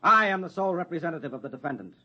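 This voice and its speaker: A persuasive American defense lawyer in an old radio play. He is high-voiced and husky, with an oratorical, polite delivery. The recording is dull. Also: expressive, rapid-fire, sharp tone, full character voice. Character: smooth-talking, persuasive male defense lawyer in court. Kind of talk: 1950s radio drama acting.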